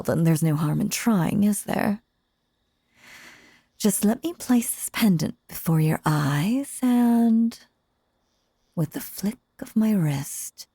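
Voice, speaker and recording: A sinister, possessive magician acted by a woman speaks in a low, creaky, velvety-dark voice. The delivery is theatrical and coaxing, sweetly menacing, often sinking into breathy whispers for an intimate roleplay audio. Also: no room sound, studio quality, heavily acted. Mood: hypnotic, coaxing. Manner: theatrical, coaxing, drawn-out phrasing with dramatic pauses.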